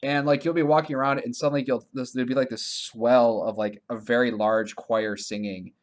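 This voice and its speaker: A man co-hosting a podcast, talking casually about video games in a halting way, often restarting his sentences and leaning on filler words. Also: mid-range voice, fairly even intonation. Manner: halting, self-correcting, heavy use of "like". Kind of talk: casual conversational game review chat.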